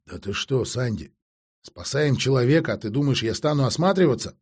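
A man's voice: mid-range voice, animated, brisk, darkish tone, husky. Man playing a curt, resolute male mage. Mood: indignant. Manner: firm, clipped, curt.

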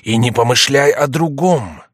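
Man putting on a slow, menacing judging voice. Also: fairly low pitch, animated, a bit bright, breathy edge, no echo, studio quality, heavily acted. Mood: stern. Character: stern accusing mysterious voice posing as heaven.

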